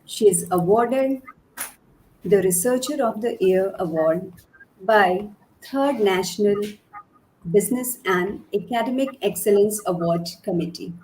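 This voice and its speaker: A woman with an Indian accent hosting an event, formally reading out a speaker introduction in measured phrases. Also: medium pitch, a little hiss or hum, low-quality recording.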